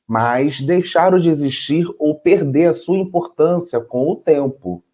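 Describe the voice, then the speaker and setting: Slow-talking Brazilian man co-host. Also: on the high side, mellow. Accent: Brazilian Portuguese. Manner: playful, laughs often, addresses "gente". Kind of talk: casual chat with partly read script.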